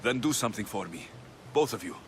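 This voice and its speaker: An actor playing a young Greek mercenary hero, blunt and grieving, angry in bursts and hushed in sorrow. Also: male voice, lowish voice, lively intonation, fast, music in the background, close to an everyday voice. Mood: somber.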